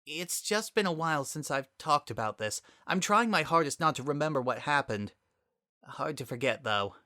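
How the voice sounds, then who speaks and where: A bright-toned, weary young mare from a cartoon pony story, acted out by a man, guarded and wry as she speaks. The sound is dry and close. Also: a little high, lively intonation, normal speed, no room sound, pitched above the performer's own voice. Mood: somber.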